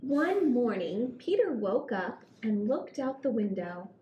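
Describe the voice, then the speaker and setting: A woman in her thirties reading a picture book aloud to small children as a story hour host, with expressive, drawn-out phrasing. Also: highs cut off. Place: small indoor room at a table. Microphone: headset. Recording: roomy echo, low rumbling noise.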